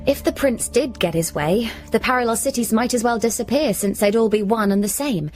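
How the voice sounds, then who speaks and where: A woman's voice: fairly high pitch, fairly quick, faint music behind, natural voice. An actress playing a puzzled, wry woman who narrates and speaks in a surreal radio drama. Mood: musing.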